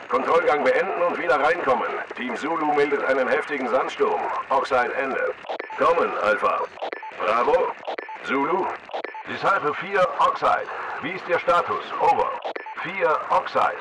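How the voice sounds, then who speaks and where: A man's voice: fairly high pitch, normal speed, very noisy, close to an everyday voice. A man playing a clipped, authoritative military command-post radio operator in a video game. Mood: commanding, urgent.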